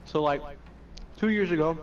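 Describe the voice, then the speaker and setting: A man's voice: fairly high pitch, normal speed, muffled recording. A young man casually telling anecdotes to a friend, rambling, slangy and full of swearing. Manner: slangy, profane, loose and rambling.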